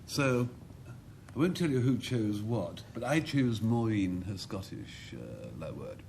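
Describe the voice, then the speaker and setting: A man's voice: lowish voice, expressive, takes it slowly, a little mellow, slight background noise. A man captaining a team on a panel word game, drily joking and playful. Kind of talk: comic bluffing banter.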